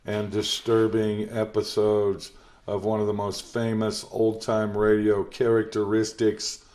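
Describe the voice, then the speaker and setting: Slow, even-voiced male presenter. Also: on the low side. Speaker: presenter introducing a compilation. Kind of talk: scripted introduction.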